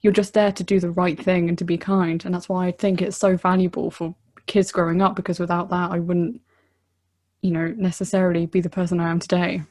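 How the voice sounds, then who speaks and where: A woman's voice: fairly low pitch, flat delivery, brisk, darkish tone. A woman from southern England, a podcast guest speaking earnestly and reflectively, with many "you know" fillers. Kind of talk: casual podcast chat.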